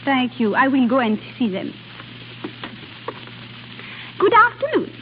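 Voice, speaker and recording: A woman's voice: high-pitched, sing-song, slow, heavily acted. An actress playing a delicate, guarded young French noblewoman who flusters easily, in 1930s radio drama style. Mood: poised. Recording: scratchy, tinny 1930s radio broadcast.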